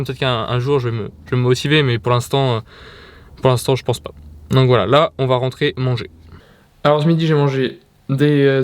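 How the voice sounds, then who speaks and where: A young man casually talking to camera in his bedroom, hesitant, with many fillers and restarts. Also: medium pitch. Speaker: fitness vlogger. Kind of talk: casual vlog talk to camera.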